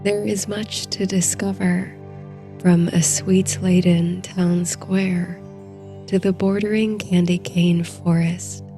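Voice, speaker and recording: A woman narrating a guided sleep story in a soothing, hushed, unhurried way. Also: on the low side.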